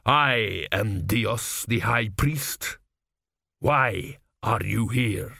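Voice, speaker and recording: A man voicing a very old high priest in an audiobook, calm, grave and measured, with quiet authority. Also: no echo, clean studio sound. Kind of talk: audiobook character voice.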